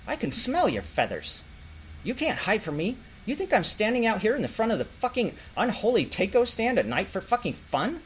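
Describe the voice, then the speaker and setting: A man voicing a small, foul-mouthed, furious male squirrel who leads a mob and shouts threats. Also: sits high, a little hiss or hum, voice pushed up, full character voice, low-quality recording.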